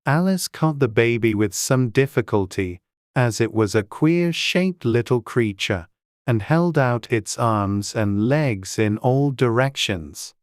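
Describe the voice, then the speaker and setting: A man's voice: medium pitch, lively intonation, slow pace, no room sound, studio quality. A man reading scripted storybook narration as an audiobook narrator.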